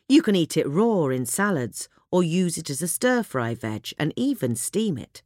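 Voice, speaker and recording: Low-voiced woman reading a gardening article. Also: no room sound, clean studio sound. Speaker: reader. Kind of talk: scripted narration of a gardening article.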